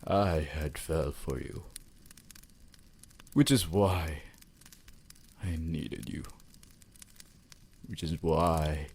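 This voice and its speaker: A man's voice: extremely low pitch, very slow, long pauses, a little mellow, slight background noise, heavily acted. A man voicing an ancient, courtly vampire lord in an ASMR roleplay, formal, theatrical and romantically devoted. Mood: wistful, adoring.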